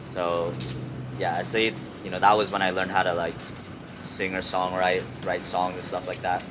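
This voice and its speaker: Young man from Hong Kong, deep voice, casual stop-start slangy talk, recorded on a noisy urban rooftop. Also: little pitch movement. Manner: halting, repeats words, slangy with frequent "like" and "you know". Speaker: music artist, interview guest. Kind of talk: casual chat. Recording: clear outdoor city traffic noise.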